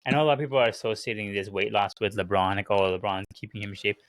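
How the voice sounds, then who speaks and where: A man co-hosting a casual sports podcast, talking in an animated way as he riffs on teams and players. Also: fairly low pitch, rapid-fire, no echo. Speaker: podcast co-host.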